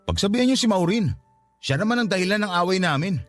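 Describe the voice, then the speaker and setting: A man's voice: high-pitched, lively intonation, quick pace. An actor playing a young adult older brother with a Filipino accent, blunt and sarcastic as he scolds his sister in a Tagalog radio drama. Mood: scolding. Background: soft music underneath.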